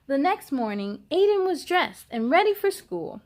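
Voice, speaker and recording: A woman hosting a children's story time, reading aloud expressively with drawn-out words and talking directly to young listeners. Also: very high-pitched, big pitch swings, a little mellow.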